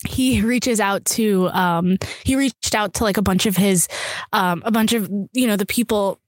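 A woman's voice: mid-range voice, normal speed, dry recording. Chatty young woman guest, bilingual Urdu speaker, mid-range voice, dry close sound. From United States. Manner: animated storytelling, peppered with "like". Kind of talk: casual chat.

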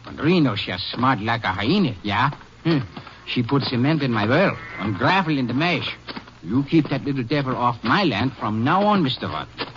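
A man playing an indignant, flustered German immigrant farmer in a 1950s radio drama, speaking halting English with a German accent. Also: mid-range voice. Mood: angry. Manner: halting, excitable, broken English. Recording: noisy, muffled old 1950s radio recording.

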